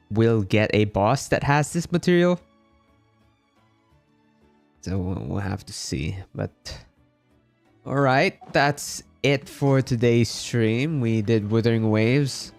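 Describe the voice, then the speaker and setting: A man's voice: mid-range voice, a little mellow, no room sound. Casual male game streamer, music behind him. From Philippines. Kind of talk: casual livestream chat.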